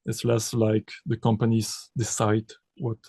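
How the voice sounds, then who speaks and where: A man from Belgium, a composer and pianist, answering interview questions in halting with many restarts and repeated words. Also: lowish voice, completely flat delivery, warm tone, breathy edge, dry recording.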